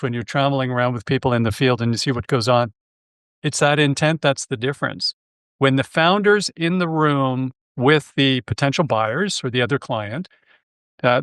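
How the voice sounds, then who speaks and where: Man, from Canada, podcast host, mid pitch, enthusiastic, dry close sound. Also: a bit bright, clear, resonant tone, no room sound. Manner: friendly, enthusiastic, restarts and self-corrects. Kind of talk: conversational interview.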